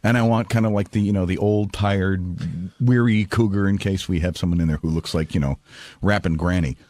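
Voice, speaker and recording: A man's voice: low-pitched, steady tone, a little fast, a little mellow, no room sound. A man who works sound effects on a radio show, telling anecdotes in casual conversation.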